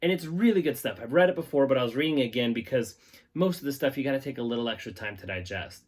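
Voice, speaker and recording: A man with a medium-pitched voice presents as the host of a hobby channel. He speaks directly to his viewers, moving between informal explanation and reading aloud from a text. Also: lively intonation, quick pace, a little mellow. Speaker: host of a reptile-keeping channel. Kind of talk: casual talk to viewers and reading a scientific paper aloud.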